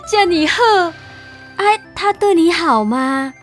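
A woman playing a nosy, excitable middle-aged mother with a Taiwanese accent in a comedic audio drama, mixing Mandarin with Hokkien as she questions. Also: very high-pitched, takes it slowly, faint music behind, full character voice. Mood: eager, curious.

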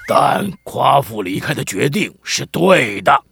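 A man's voice: mid-range voice, sing-song, unhurried, creaky, full character voice. A man with a Taiwanese accent playing a jolly, chatty middle-aged village chief who tells tall tales in a theatrical, teasing way for a children's radio drama. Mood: mock-solemn, playful. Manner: theatrical, teasing, stammering at times. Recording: music bed behind the voice.

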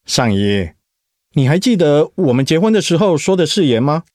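Protective young husband, highish male voice, very animated intonation, tender, coaxing, radio drama. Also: normal speed, no room sound, studio quality. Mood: concerned, probing. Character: devoted, protective young husband, gentle and perceptive. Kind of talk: Mandarin radio drama acting.

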